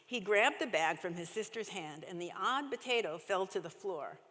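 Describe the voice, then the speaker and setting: A woman's voice: mid-range voice, lively intonation, normal speed, a bit bright. A woman reading a children's story aloud expressively in a large, reverberant church. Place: large church sanctuary, indoors. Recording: echoey church hall, long reverb.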